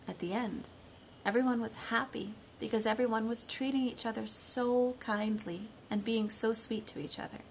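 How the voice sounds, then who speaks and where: A woman in her thirties reading and talking to young children in simple, expressive storytime phrasing, recorded on a phone at home. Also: fairly high pitch, vocal fry. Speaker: children's storytime reader and teacher. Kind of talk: storytime read-aloud and talk to young children. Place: living room at home, indoors. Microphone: phone at about arm's length. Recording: noisy homemade phone recording.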